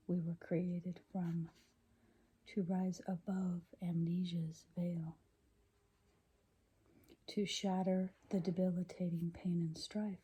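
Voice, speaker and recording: A woman reading poetry in a gentle, deliberate, breathy ASMR whisper. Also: lowish voice. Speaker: poetry reader.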